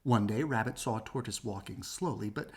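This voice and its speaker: A woman reading a children's story aloud in an animated, husky narrating voice. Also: rumbling low, moderate pace. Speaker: storybook reader.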